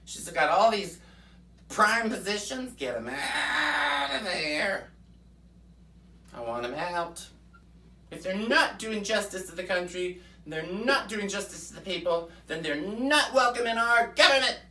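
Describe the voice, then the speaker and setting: Brief: a woman doing a preachy folksy pundit lady, bright and sharp-toned, pitch swinging all over, echoey room with the mic set back. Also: lively intonation, distant mic. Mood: fired-up ranting. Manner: fiery, preachy, with drawn-out "mm-hmm" asides. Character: brash folksy right-wing woman pundit persona. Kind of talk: comic political rant in character.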